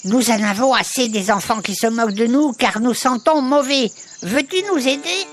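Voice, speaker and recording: A woman's voice: on the high side, moderate pace, slight background noise, close to an everyday voice. A woman voicing an earnest, plucky young animal schoolchild in a children's audio story.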